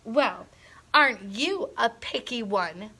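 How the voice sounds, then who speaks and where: A woman's voice: a little high, lively intonation, slow, sharp tone, husky, exaggerated voice. A woman voicing a haughty girl monster in a storybook, snapping and sniffing with indignation. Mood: indignant.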